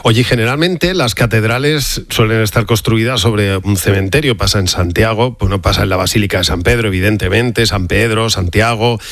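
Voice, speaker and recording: A man's voice: fairly low pitch, normal speed, few pauses, fairly bright tone, dry recording, clean studio sound. A man with a Castilian Spanish accent presenting in a chatty, emphatic, conversational broadcast style. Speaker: radio host.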